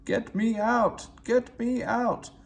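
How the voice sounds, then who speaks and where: A man's voice: very high voice, fairly even intonation, slow, creaky, slight background noise, pitched above the performer's own voice, exaggerated voice. A man voicing a pompous, self-important steam engine in a children's story, indignant and grumbling. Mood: distressed.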